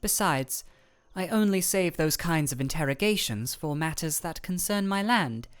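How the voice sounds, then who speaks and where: A woman performs a cool, reserved ice royal with a low voice. The speech is formal and measured, with a deadpan, regal detachment, in the style of a fantasy ASMR roleplay. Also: clean tone, soft background music. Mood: cool, matter-of-fact.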